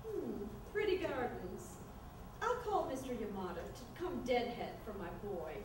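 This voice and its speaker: A woman's voice: a little high, big pitch swings, a little slow. A woman playing a snooty, critical mother of a grown son in a staged screenplay reading, haughty and dryly passive-aggressive. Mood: airy. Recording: echoey room, distant mic, noisy.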